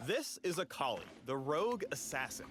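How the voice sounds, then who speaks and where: A man with a fairly high voice narrates a scripted game explainer. His intonation is very animated and his delivery is polished and promotional. Music runs clearly underneath. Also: moderate pace. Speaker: narrator of a champion spotlight.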